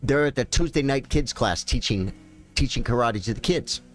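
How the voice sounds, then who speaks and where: Streamer, male, late 50s, folksy and talkative, mid-pitched, faint music underneath. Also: normal speed. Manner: chatty, folksy, talks straight to viewers. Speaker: chess streamer. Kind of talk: casual live-stream chat.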